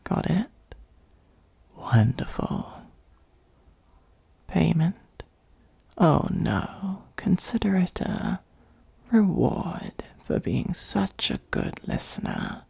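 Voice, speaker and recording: An ancient shape-shifting dragon, played by an ASMR performer, speaks slowly in a dark, mellow, breathy half-whisper, very close and dry. The phrasing is formal and wry, that of a patient, self-serving adviser in a fantasy roleplay. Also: medium pitch, big pitch swings, no echo, heavily acted. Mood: softened, gracious.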